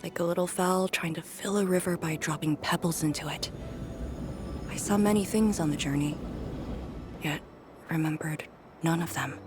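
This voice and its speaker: An actress voicing a melancholy, quietly resolute young woman in a game, breathy, subdued and reflective. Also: low voice, normal speed, long pauses, natural voice. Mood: wistful, reflective. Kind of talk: scripted game voice acting. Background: prominent music.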